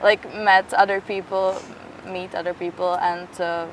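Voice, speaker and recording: A woman's voice: lowish voice, level tone, measured pace, crowd noise. Hesitant young woman, slightly distant from mic. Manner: hesitant, filler-laden, informal. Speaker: youth project participant being interviewed. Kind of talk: casual interview talk.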